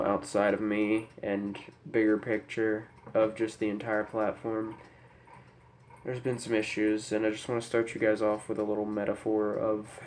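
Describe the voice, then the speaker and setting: Young male vlogger, congested with a cold. Subdued, flat delivery, halting and serious, light background noise on a camera mic. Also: fairly low pitch. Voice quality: stuffy, congested. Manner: somber, hesitant, apologetic. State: head cold. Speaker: YouTuber. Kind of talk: unscripted personal talk to camera. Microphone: camera microphone.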